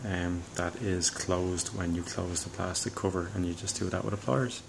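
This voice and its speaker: A deep-voiced man talks through a home DIY job in an even, low-key tone. He pauses and thinks aloud as he explains, in a casual hobbyist style, with ambient noise on the recording. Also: creaky. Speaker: hobbyist presenter. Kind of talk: casual DIY demonstration talk.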